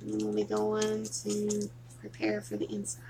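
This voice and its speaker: A woman hosting a casual crafting livestream, chatting softly with viewers while she works. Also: mid-range voice, very animated, moderate pace, very noisy. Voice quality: soft, relaxing voice.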